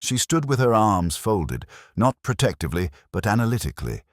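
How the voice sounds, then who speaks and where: A middle-aged man narrating a detective story in the first person, in a measured, literary and brooding manner. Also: on the low side, very animated, dry recording, clean studio sound.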